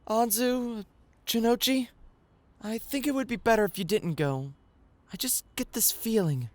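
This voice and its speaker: A woman's voice: on the high side, a little slow, no room sound, close to an everyday voice. A woman voicing an earnest, jittery teenage schoolkid in an anime-style audio drama. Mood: earnest, worried.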